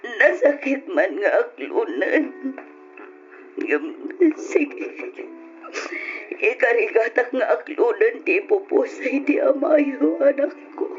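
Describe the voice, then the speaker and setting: A grieving Ilocano Filipino mother in an old radio drama, devout and tender. She speaks very slowly and pleadingly in a high, tearful voice. The recording is muffled, with music clearly under her. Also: lively intonation. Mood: tearful resignation. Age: middle-aged. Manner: slow, tearful, pleading delivery.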